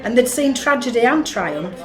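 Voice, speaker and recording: A woman's voice: on the high side, animated, moderate pace, natural voice. A woman with a Northern English accent acting in a radio play as a blunt, level-headed, no-nonsense sceptic. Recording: echoey room.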